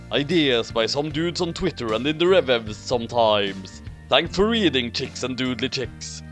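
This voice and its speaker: A man reading a story aloud as theatrical comedy, with exaggerated, mock-dramatic emphasis. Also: mid-range voice. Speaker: reader of fan fiction. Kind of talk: theatrical comic narration.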